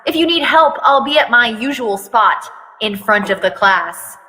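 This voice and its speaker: A male high school teacher in a story reading, played by a woman, hands out instructions in a matter-of-fact manner. The voice is bright and raised in pitch, ringing with long reverb. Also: expressive, measured pace. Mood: instructing.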